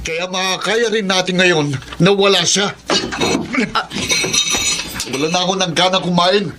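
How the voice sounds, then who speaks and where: Here is a proud Filipino eldest son in a radio drama. His voice sits notably high for a man, and he speaks with cold, bitter confrontation. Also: measured pace, very noisy. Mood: bitter, angry. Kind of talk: Tagalog radio drama acting.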